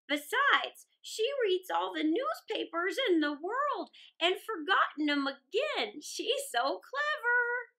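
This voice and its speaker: A woman's voice: extremely high pitch, very animated, slow, sharp tone, exaggerated voice. A woman voicing a large, kindly, gossipy crow in a storybook reading, with squawks and emphatic phrasing.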